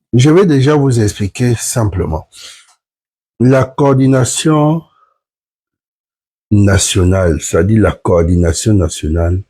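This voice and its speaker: A man's voice: on the low side, dark tone, breathy edge. A man, sick with the flu, earnestly explaining point by point to viewers in a live stream. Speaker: platform member hosting a live stream.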